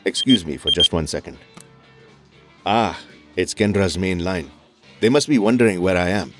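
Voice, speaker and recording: A man's voice: low-pitched, moderate pace, over loud music, lightly acted. An actor playing a kindly, reassuring male doctor and mentor in an audio drama. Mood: amiable.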